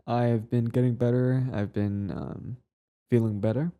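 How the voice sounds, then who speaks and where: A man's voice: medium pitch, steady tone, a little slow, lo-fi sound. A man who hosts an ASMR channel, chatting casually to his listeners in a hesitant, rambling way. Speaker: ASMR voice performer, channel host.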